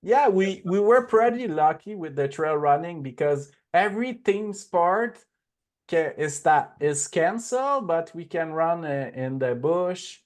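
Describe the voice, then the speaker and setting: A man's voice: on the high side, animated. A man with a Quebec French accent hosting a podcast interview, asking short questions. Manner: brief backchannels and slow questions. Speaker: podcast host. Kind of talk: casual interview.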